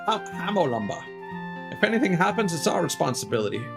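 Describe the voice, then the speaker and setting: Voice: mid-range voice, very animated, quick pace, vocal fry. A fretful temple guardian out of a video game, put on by a streamer reading the lines. The delivery is dramatic and worried, rising and falling widely. Music plays behind the voice. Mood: solemn, worried. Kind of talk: reading game dialogue aloud.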